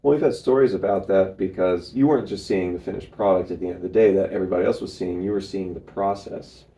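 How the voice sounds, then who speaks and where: A man's voice: sits low, fairly even intonation, quick pace, warm tone. A man interviewing a musician, affirming his answers and asking follow-up questions. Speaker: interviewer and pianist. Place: indoor room at music headquarters. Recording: roomy room.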